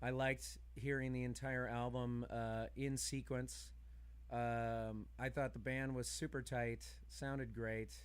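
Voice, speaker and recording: A man's voice: medium pitch, flat delivery, takes it slowly, smooth. A middle-aged man in a casual podcast chat, talkative and opinionated. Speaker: podcast speaker.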